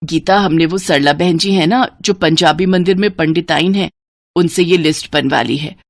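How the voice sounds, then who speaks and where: A woman voicing a caring middle-aged Bihari mother in a story, speaking in a gentle and reassuring way. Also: on the low side, normal speed, no room sound, clean studio sound.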